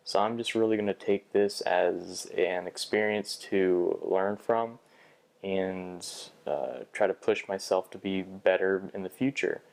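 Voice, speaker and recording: A man's voice: low voice, measured pace, vocal fry, a little mellow. A young man talking through a bad job interview in a personal vlog, hesitant, with frequent restarts and fillers. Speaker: vlogger.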